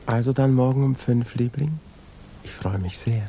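An actor playing a courteous, evasive violinist lover in a 1950s radio drama, speaking. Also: male voice, mid-range voice, moderate pace, dark tone, slight background noise, close to an everyday voice. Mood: polite. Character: evasive male violinist lover, polite but emotionally detached. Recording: 1950s radio recording with music behind.

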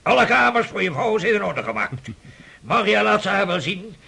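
Husky, animated gruff old prince. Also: male voice, high voice, full character voice. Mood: brusque, impatient. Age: older. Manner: brusque, scoffing, rapid-fire questioning. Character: gruff, mocking, energetic old prince and father. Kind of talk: radio drama acting.

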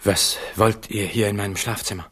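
A man's voice: fairly low pitch, fairly even intonation, normal speed, bright, forceful tone, husky. An actor playing a weak, tormented, petulant young king in a German radio drama, agitated and aggrieved in a theatrical way. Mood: stern.

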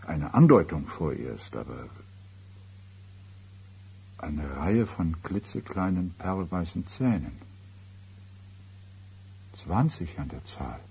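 Radio drama role: reserved professional, low-pitched and very husky, measured, hesitant delivery. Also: male voice, dark tone, heavily acted. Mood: hesitant, uneasy. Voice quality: deep, husky. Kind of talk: German radio play acting.

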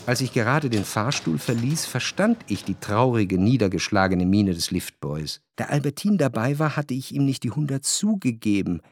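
An actor playing an introspective, wryly analytical narrator in a historical radio play, speaking in a measured, articulate, reflective way. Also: male voice, mid-range voice, lively intonation, background noise, lightly acted.

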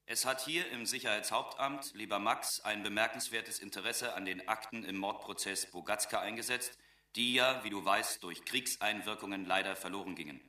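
Brisk wartime official, lowish sharp voice, clipped dictation, reverberant, distant, radio play. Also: male voice. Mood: formal, conspiratorial. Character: brisk Nazi official dictating telex messages. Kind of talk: German radio play acting, dictated document. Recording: echoey, distant from microphone.

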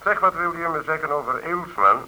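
An actor playing a composed, commanding police official heard over the telephone in a radio play. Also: male voice, fairly high pitch, animated, fairly quick, pushed and bright. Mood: composed, authoritative. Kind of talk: Dutch radio play acting. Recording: dull lo-fi telephone line.

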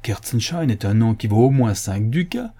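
A man's voice: mid-range voice, animated, normal speed, warm tone, slightly rough. A man voicing a haughty, surly male official in a storybook reading, scornful and pompous in his questioning. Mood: mocking, scornful. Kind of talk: audiobook character acting.

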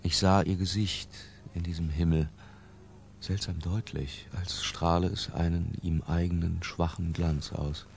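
An actor playing an imprisoned man recalling an obsession in a hushed, lingering literary radio drama narration. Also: low-pitched, flat delivery, unhurried, creaky, a little hiss or hum. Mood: hushed, sensual. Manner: hushed, lingering, sometimes falling to a whisper. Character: Humbert, imprisoned man confessing his obsessive boyhood love.

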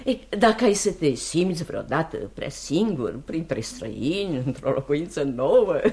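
A woman's voice: deep voice, sing-song, darkish tone. An actress playing a middle-aged, genteel and chatty landlady, effusively hospitable, in Romanian radio theatre. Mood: welcoming.

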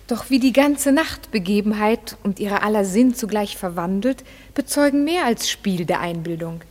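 An actress playing a gentle, thoughtful noble queen in a classic radio play, with soft, reflective verse. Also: mid-range voice, animated, normal speed, big-room sound, lightly acted. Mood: wondering.

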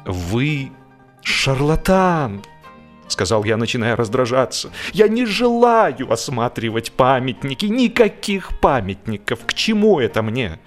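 Guy reading a comic classic, measured and theatrical, medium pitch, bit of music underneath. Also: sing-song. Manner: theatrical, measured, mock-solemn. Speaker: audiobook narrator. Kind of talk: dramatic humorous literary narration.

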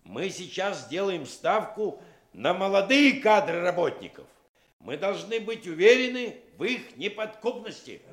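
An actor playing an earnest Soviet official, giving an oratorical briefing to young recruits in a radio drama. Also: male voice, high voice, big pitch swings, measured pace, a bit bright, a little hiss or hum, heavily acted. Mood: grave, resolute.

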